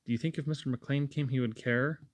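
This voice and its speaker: A man's voice: mid-range voice, fairly even intonation, fairly quick, no room sound, close to an everyday voice. A man voicing a proud, polite young lad in a storybook, speaking earnestly and directly. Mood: anxious.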